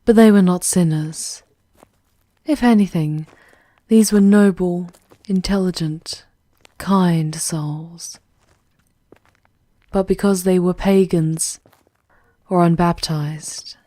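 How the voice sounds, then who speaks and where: A woman's voice: lowish voice, mellow, no echo. A woman playing a regal, world-weary demon queen who guides a wanderer in a formal, intimate ASMR roleplay, often sinking towards a whisper. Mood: earnest, solemn. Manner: measured, formal, dropping into breathy whispers.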